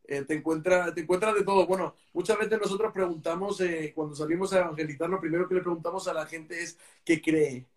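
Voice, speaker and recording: A man explaining things in a casual conversation, often halting with repeated fillers. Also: fairly high pitch, steady tone. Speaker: missionary.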